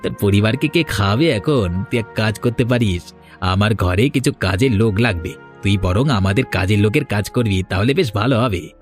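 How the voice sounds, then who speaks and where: Man doing a low, gloating village bully. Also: normal speed, faint music behind, close to an everyday voice. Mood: gloating, mocking. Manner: mocking, threatening. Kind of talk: Bengali moral-story cartoon dubbing.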